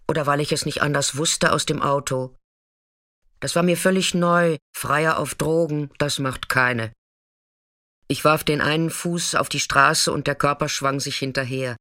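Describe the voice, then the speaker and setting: A woman's voice: sits low, pushed and bright, no echo, clean studio sound, exaggerated voice. An actress playing a tough, defiant street sex worker, telling her story in a blunt, colloquial radio drama monologue. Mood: shaken recollection. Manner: colloquial, blunt, swinging from intimate recollection to agitated outbursts.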